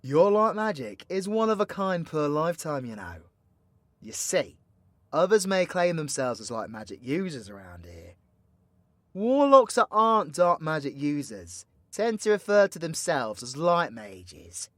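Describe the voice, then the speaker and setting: A man's voice: on the high side, very animated, unhurried, fairly bright tone, no echo. A British man playing a cocky, foul-mouthed supervillain in an audio roleplay, talking in a slangy, mocking, theatrical way to an ally. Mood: teasing, instructive. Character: cocky, foul-mouthed male supervillain plotting mayhem, teasingly mentoring ally. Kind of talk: villain roleplay audio drama, intimate monologue to listener.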